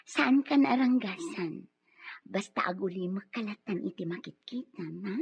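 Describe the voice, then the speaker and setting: A woman's voice: mid-range voice, lively intonation, unhurried, vocal fry, husky, highs cut off, full character voice. An actress with an Ilocano Filipino accent playing a bossy, scheming lady of the house in a radio drama, with a dramatic delivery. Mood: bossy, conspiratorial. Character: bossy, scheming, hot-tempered unfaithful wife, lady of the house.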